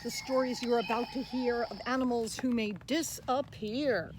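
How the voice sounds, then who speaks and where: A woman presenting a children's picture book in an expressive read-aloud style, speaking directly to young listeners. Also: a little high, moderate pace. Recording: clear background noise, animal sounds.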